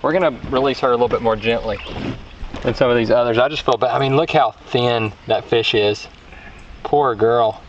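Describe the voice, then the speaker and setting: A man's voice: mid-range voice, moderate pace, lo-fi sound, slight background noise. A man vlogging while out fishing, chatting informally and often repeating short exclamations. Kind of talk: casual chat while fishing. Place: outdoors on a bass boat on a small lake.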